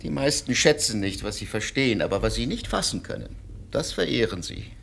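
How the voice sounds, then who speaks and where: An actor in a radio drama playing a cold professor of state law who lectures in a measured, doctrinaire way. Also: male voice, mid-range voice, big pitch swings, creaky, fairly bright tone, a little hiss or hum. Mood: lecturing.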